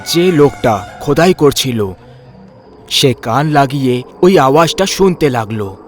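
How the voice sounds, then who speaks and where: A man's voice: medium pitch, lively intonation, a little slow, faint music behind. A man narrating a scripted horror story as its storyteller.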